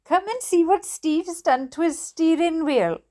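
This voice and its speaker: A woman's voice: extremely high pitch, a little slow, warm tone, voice pushed up. A Welsh woman voicing an enthusiastic, oblivious, chatty father in a storybook. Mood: excited. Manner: eager, chatty. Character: enthusiastic, easily fascinated dad, cheerfully oblivious.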